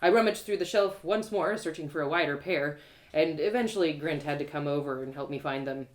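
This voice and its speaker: A woman storyteller reading first-person fiction aloud in an expressive, theatrical way. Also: deep voice, brisk. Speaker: author and storyteller.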